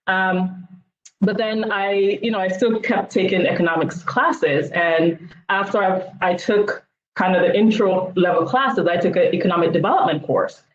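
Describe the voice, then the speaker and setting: A woman economist reflecting in a measured way on a video roundtable, with frequent fillers. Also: fairly low pitch. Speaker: economist panelist. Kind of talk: roundtable discussion. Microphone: video call. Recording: roomy, dull video call audio.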